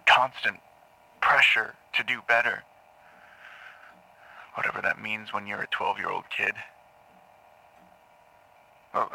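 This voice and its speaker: Guy acting nervous troubled man, halting n apologetic, lowish creaky voice, audio drama. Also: sharp tone, exaggerated voice, poor audio. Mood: troubled, confiding. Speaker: actor playing a therapy patient.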